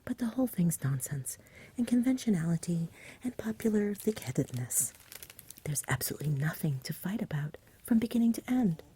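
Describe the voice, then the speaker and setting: A woman's voice: fairly low pitch, animated, moderate pace, mellow, voice pushed up. Whispering, fussy storybook dragon, voiced by a woman. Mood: severe, stubborn. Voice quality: breathy whisper. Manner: polite, old-fashioned, wordy. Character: nervous, fussy, stubborn but genial stay-at-home dragon.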